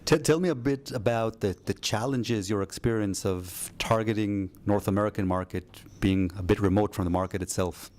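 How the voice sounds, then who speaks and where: A man interviewing a startup founder, asking brisk, upbeat questions. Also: on the low side, a little mellow.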